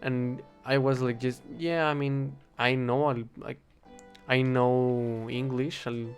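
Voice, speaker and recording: A young man with a Spanish accent, a native Spanish speaker, rambling in a casual, halting monologue while he plays a game and keeps correcting himself. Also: mid-range voice, fairly even intonation, soft background music. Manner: halting, self-correcting, thinking aloud. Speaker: vlogger.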